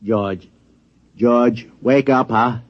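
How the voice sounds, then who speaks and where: A man's voice: mid-range voice, fairly even intonation, very slow. A man playing a genial government official who coaxes and placates in an American accent, hesitant and repeating himself, in a 1950s radio drama. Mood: urging. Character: genial, coaxing government man plying a guest for secrets. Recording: muffled old radio broadcast recording.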